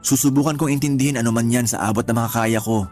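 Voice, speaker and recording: A man's voice: mid-range voice, steady tone, fast talker, a little mellow, close to an everyday voice. Man acting an earnest, exasperated live-in boyfriend. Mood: earnest, coaxing. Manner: earnest, reasoning, reproachful. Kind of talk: Tagalog radio drama acting. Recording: music bed behind the voice.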